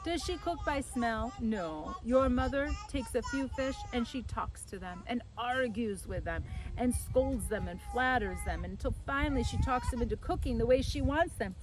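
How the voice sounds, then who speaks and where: A woman voicing a fond, expressive Italian father who keeps an inn, in a storybook, theatrical and full of rhetorical questions. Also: a little high, voice pushed up, close to an everyday voice, poor audio.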